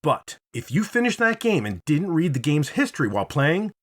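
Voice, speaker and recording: A man narrates a scripted game review in a husky, slightly high voice. His pitch moves around a lot, and he phrases things dramatically and playfully, stretching words for emphasis. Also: very animated, moderate pace, a bit bright. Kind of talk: scripted video essay narration.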